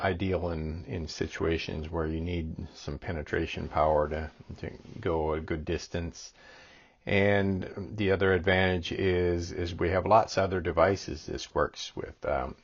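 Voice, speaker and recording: A man's voice: deep voice, highs cut off. A man giving a casual product demonstration as a reviewer, explaining things in a plain-spoken, hesitant way.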